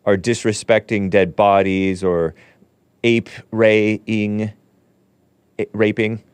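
A man with a lowish, smooth voice hosts a call-in show from a dry, close-miked space. He chats loosely, laughs easily and often trails off into fillers. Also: level tone, slow, a little mellow, no room sound. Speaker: show host. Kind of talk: casual talk-show chat.

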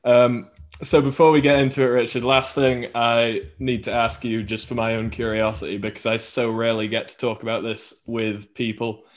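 A man's voice: medium pitch, little pitch movement, moderate pace. A man co-hosting a podcast, chatting casually in a slangy, laddish way. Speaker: podcast co-host. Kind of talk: casual chat.

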